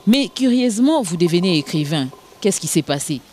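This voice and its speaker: A woman, hosting a formal television interview in a hesitant way, with many filler pauses. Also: on the low side, sing-song, a little mellow. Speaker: TV show host.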